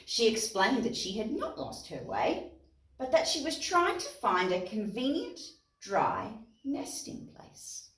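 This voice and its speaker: A woman's voice: a little high, slow pace. An Australian woman presenting storytime for young children, reading aloud expressively and explaining things simply. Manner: expressive, asks listeners questions and explains words simply. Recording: roomy echo, distant mic, guitar music.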